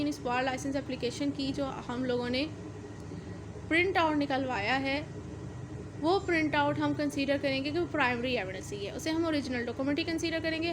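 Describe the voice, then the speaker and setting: A woman's voice: high-pitched, noisy background. A woman with a Pakistani accent giving an explanatory law lecture, mixing in English terms and rephrasing each point step by step.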